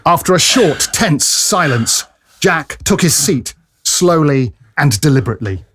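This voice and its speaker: A man's voice: fairly high pitch, animated, measured pace, a bit bright, slightly rough. A man giving a dramatic scripted narration of a period adventure story. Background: music.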